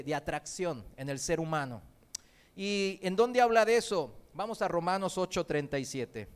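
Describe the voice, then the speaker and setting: A male preacher in a church, a native Korean speaker whose Spanish carries an accent learned in Argentina and mixed with Mexican. His voice sits high and sounds smooth and resonant. He preaches in a lively way, throwing rhetorical questions and light jokes to the congregation. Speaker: visiting preacher. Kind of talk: sermon.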